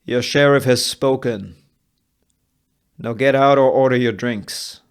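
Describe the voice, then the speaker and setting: A man playing a domineering, slow-talking Western sheriff. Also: mid-range voice, clean tone, pitched above the performer's own voice. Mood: commanding. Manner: deliberate, domineering. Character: sadistic, commanding Wild West sheriff acting as judge.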